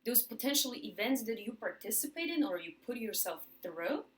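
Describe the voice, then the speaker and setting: A woman's voice: a little high, sing-song. Emphatic Polish-accented motivational woman. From Poland. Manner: emphatic, repeats words for stress, slows on key phrases. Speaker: motivational speaker. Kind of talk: motivational talk to camera.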